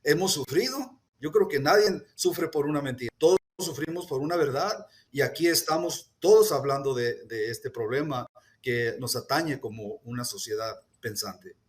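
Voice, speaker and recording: A man speaking earnestly at a press conference, deliberate, with hesitations and restarts. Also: mid-range voice, expressive. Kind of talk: formal public statement.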